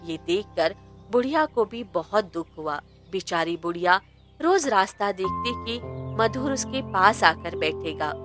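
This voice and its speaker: A woman narrating a scripted moral story as its storyteller. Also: mid-range voice. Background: music.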